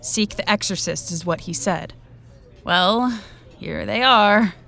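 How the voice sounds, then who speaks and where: A woman's voice: mid-range voice, slow, vocal fry, a bit bright. Young female trucker, weary, caring, hesitant, reassuring, audio drama. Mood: gentle sympathy.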